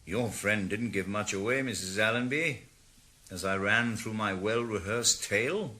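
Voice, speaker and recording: A man's voice: on the low side, unhurried, sharp tone. An actor playing a wry, world-weary retired Welsh ex-policeman working as a private eye, narrating his own story. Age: older. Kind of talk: radio drama with first-person narration.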